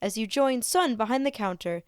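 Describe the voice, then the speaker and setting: A woman reading fan fiction aloud as an expressive narrator. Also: a little high, moderate pace, clear, resonant tone, no room sound, studio quality.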